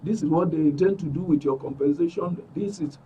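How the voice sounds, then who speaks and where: A man with a Nigerian accent and a husky voice giving an emphatic account as an interview guest, repeating phrases for stress. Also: a little high, fairly quick, mellow, far from the mic, highs cut off, a little hiss or hum. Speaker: interview guest, club member. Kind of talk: spoken account in a broadcast interview.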